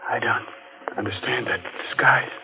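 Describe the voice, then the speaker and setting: A man's voice: mid-range voice, fairly even intonation, normal speed, gravelly, over music, lightly acted. A man playing a grave, shaken narrator of a murder mystery, in dramatic 1940s radio drama style. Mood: alarmed. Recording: tinny, faintly noisy 1940s radio recording.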